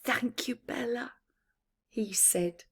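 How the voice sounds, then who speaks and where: Female storyteller for young children's picture book, higher-pitched voice, unhurried, dramatic read-aloud delivery with hushed touches. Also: gravelly, breathy edge. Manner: slow, deliberate, dramatic, often dropping to a hushed whisper.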